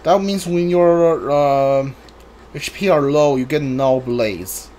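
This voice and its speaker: A man's voice: on the high side, slow pace, darkish tone, slight background noise. A man giving casual let's play commentary, muttering reactions and reading item names aloud as he plays. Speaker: video game player streaming a playthrough.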